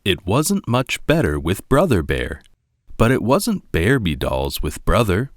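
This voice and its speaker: A man narrating a children's storybook as a read-along, with a deliberate, expressive delivery. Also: lowish voice, no echo, clean studio sound.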